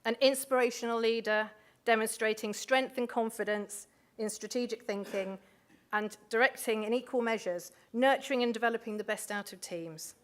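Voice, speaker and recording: A woman's voice: a little high, moderate pace. Chatty woman hosting an awards ceremony. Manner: chatty, with asides and fillers. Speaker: awards host. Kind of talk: live award ceremony presentation. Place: large hotel function room.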